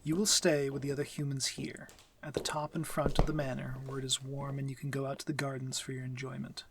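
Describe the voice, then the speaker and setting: A man playing a courteous, commanding vampire lord in an intimate ASMR roleplay, speaking formally in a measured, breathy, near-whispered voice. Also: mid-range voice, level tone, noisy background, close to an everyday voice. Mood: calm, instructive. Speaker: audio roleplay voice actor.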